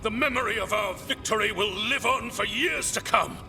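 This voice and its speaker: An actor playing a deluded, haughty military commander in a video game, imperiously barking orders. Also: male voice, sits high, moderate pace, creaky. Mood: imperious, delusional. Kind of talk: video game drama acting. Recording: loud game music behind.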